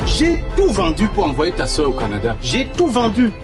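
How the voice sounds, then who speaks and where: A man's voice: high voice, huge pitch swings, normal speed. A man acting an agitated father in a film drama, speaking emphatically and repeating himself. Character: agitated father who sold everything for his daughter. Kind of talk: acted film drama dialogue. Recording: music playing over the dialogue.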